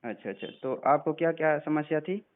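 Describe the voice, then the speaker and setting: A man's voice: a little high, a little fast. A man in a phone consultation, asking questions, repeating answers back and reassuring the caller as a practitioner. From Uttar Pradesh, India. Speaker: Ayurvedic practitioner interviewing a patient. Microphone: telephone. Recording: narrowband phone call recording.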